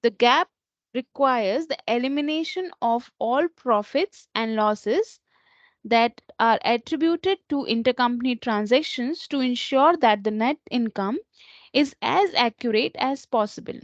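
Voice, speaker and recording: A woman presenting an instructional slide lesson as a software trainer, explaining in a slightly halting way. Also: on the high side, dry recording. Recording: dull lo-fi close recording.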